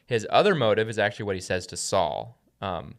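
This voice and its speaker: A man's voice: on the low side, big pitch swings, fairly quick. A man speaking as a podcast host, teaching the Bible in a conversational way and often checking in with "right?".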